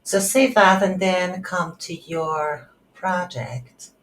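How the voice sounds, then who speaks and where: A woman giving an unscripted screen-recorded coding tutorial, thinking aloud in halting short phrases. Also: on the low side, steady tone, fairly bright tone. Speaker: tutorial instructor. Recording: roomy echo, audible background noise.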